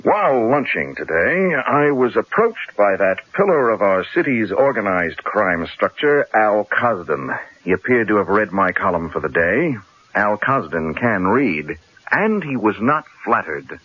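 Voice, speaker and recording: An actor playing a wry, stubborn, articulate newspaper columnist in a radio drama. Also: male voice, fairly low pitch, very animated, a little slow, husky, full character voice. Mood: wry, defiant. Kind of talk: 1970s radio drama acting. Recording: muffled old radio drama broadcast.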